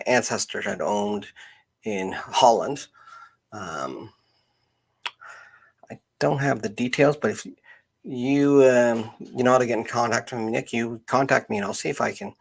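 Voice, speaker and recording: A man hosts an unscripted live stream in a gravelly, husky voice. His pitch sits in the middle for a man. He talks hesitantly, often pausing to find the next word. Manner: halting, hesitant, searching for words.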